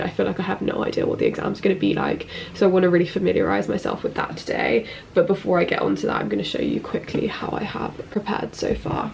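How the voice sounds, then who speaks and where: A woman's voice: medium pitch, gravelly, a little mellow, far from the mic. A woman in her twenties chatting casually in a study vlog, sounding bunged up from a cold, with a rambling, filler-heavy way of talking. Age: 20s. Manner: chatty, rambling, lots of likes and restarts. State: congested with a cold. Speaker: vlogger.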